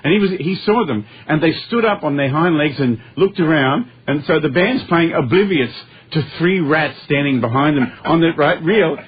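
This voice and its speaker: Man, middle-aged, radio interview guest, animated storyteller, dull lo-fi broadcast sound. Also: a little high, normal speed, hardly any pauses, breathy edge. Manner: animated storyteller, chuckles through anecdotes. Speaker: guest musician.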